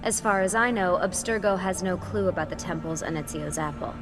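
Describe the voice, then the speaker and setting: A woman voicing a brisk, matter-of-fact leader of a covert team in a video game. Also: fairly low pitch, natural voice. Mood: businesslike. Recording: clear music bed underneath.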